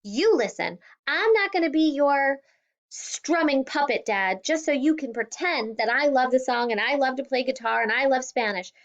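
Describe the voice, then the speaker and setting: A woman voicing a hurt, defiant teenage daughter in an audiobook, sharp and heated. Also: high-pitched, very animated, moderate pace, highs cut off, voice pushed up. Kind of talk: audiobook reading.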